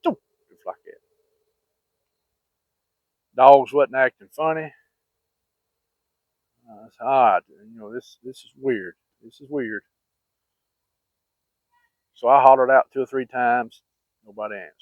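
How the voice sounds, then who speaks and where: A man's voice: mid-range voice, takes it slowly, pauses often, dry recording. A man with a Southern US accent tells personal stories in a casual, folksy, rambling, plain-spoken way. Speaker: vlogger. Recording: muffled, phone-like narrow-band audio.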